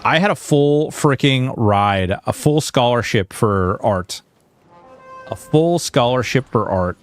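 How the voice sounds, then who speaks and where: A man in his early forties chatting casually and haltingly to livestream viewers while gaming, informal and self-deprecating, with some mild swearing. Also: medium pitch, expressive, soft background music. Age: early 40s. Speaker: game livestreamer.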